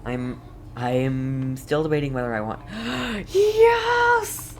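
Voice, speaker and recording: A man giving casual, excitable and reactive commentary as he plays a video game. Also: a little high, slow, slight background noise. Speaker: game streamer.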